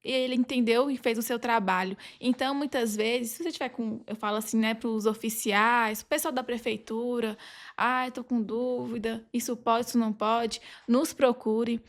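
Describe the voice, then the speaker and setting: A woman's voice: a little high, fairly even intonation, normal speed. A woman with a Brazilian Portuguese accent speaking as a podcast guest, explaining things from experience in an informal, conversational way. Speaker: podcast guest, legal advisor.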